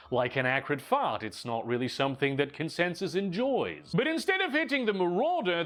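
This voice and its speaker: A man's voice: sits high, a bit bright. A man narrating a scripted lore video with wry, dramatic storytelling.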